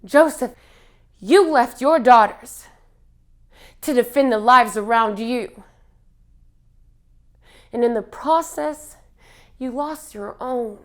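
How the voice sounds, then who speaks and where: A woman delivers a theatrical monologue in a high voice. She speaks slowly and deliberately, leaving dramatic pauses between phrases. Her delivery is solemn and impassioned, in the manner of spoken word on stage. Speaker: monologue performer.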